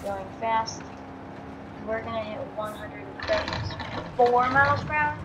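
Scratchy-voiced gaming host over engine noise. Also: female voice, fairly high pitch, slow pace. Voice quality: scratchy morning voice. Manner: chatty, thinks aloud, counts down launches. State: tired. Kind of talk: casual gameplay commentary. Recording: loud car engine game audio behind. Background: racing game engine noise.

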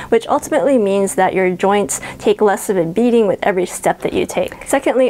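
A woman's voice: mid-range voice, darkish tone. Woman reviewing travel gear on camera. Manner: upbeat, friendly, explanatory. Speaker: travel vlogger. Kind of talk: scripted product walkthrough. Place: small bedroom with a closet, indoors. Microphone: clip-on lapel mic.

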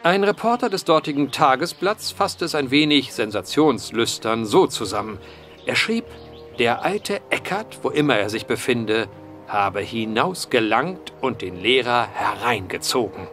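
Male role: earnest, methodical investigator for period horror radio play. Formal, courteous, lively intonation, faint music. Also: medium pitch. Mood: grave narrating. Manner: formal, measured, old-fashioned phrasing. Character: earnest, methodical man investigating vanishings, polite storyteller. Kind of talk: period horror audio drama acting.

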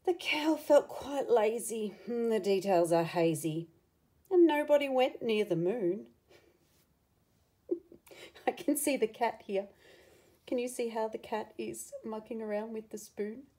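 Animated woman reading a children's picture book. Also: fairly high pitch, slow, long pauses. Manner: animated, dramatic emphasis with hushed asides. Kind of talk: expressive children's read-along with asides to the listener.

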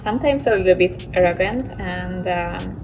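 A young woman from Hungary answering interview questions outdoors, slow and hesitant with many fillers. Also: lowish voice, creaky, far from the mic. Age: young adult. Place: outdoor courtyard by glass building. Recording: music underneath.

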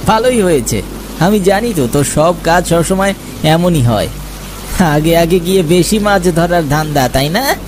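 High-pitched teasing young village man played by a man. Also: animated, a little slow. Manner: teasing, chiding. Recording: noisy, rain behind the voice.

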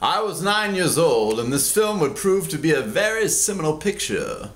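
A man's voice: on the high side, sing-song, moderate pace. A man in his forties, from Kansas, reading his own memoir aloud in a theatrical, sardonic way, close to a webcam in a small room at home. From Kansas, USA. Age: 40s. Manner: theatrical, sardonic, bursts into loud emphasis.

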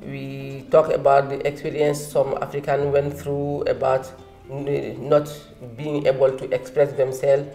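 A woman's voice: rumbling low, level tone, unhurried, creaky, warm tone, roomy sound, faint music behind. A woman from Mali, interviewed, speaking plainly about her campaign work. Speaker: community member. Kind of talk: interview testimony.

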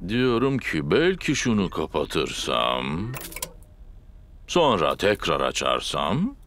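Bumbling cartoon dad pig: very deep, gravelly, swooping wildly, hesitant hums. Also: male voice, huge pitch swings, slow pace, a bit bright, a little hiss or hum, heavily acted. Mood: unsure. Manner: hesitant, humming and hawing. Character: bumbling good-natured father pig. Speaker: Daddy Pig voice in a cartoon dub. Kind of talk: cartoon dubbing.

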